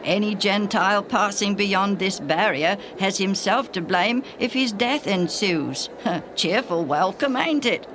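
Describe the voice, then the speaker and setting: A woman's voice: mid-range voice, lively intonation, a little slow, background noise, pitched above the performer's own voice, lightly acted. A woman voicing a wry, sardonic man in a story reading. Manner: dry, wry.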